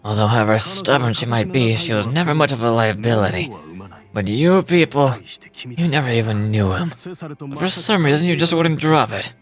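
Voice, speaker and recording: A man playing a menacing crime boss in a fantasy game, with a cold, taunting, deliberate delivery. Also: medium pitch, lively intonation, close to an everyday voice. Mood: bitter, taunting. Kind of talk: dramatic video game voice acting. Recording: music behind the voice.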